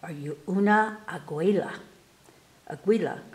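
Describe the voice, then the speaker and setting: A woman reading children's poems aloud at storytime, expressively and with careful, animated delivery for young listeners. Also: fairly low pitch, echoey room. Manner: animated, unhurried, enunciating for children. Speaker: library storytime reader. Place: indoors in a room, book filmed on table.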